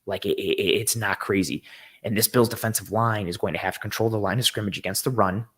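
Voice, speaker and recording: A man with a hoarse, raspy voice, worn out from a game the night before, hosts a casual live-stream football breakdown and rambles eagerly through viewer comments. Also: lowish voice, steady tone, a little fast, a bit bright. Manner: rambling, eager, with frequent ums and restarts. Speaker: host of a football show. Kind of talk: casual live-stream sports analysis, reading chat comments.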